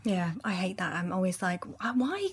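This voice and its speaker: A woman's voice: fairly low pitch, sing-song, moderate pace, slightly rough. A woman co-hosting a podcast in casual conversation. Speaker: podcast presenter. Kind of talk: casual chat.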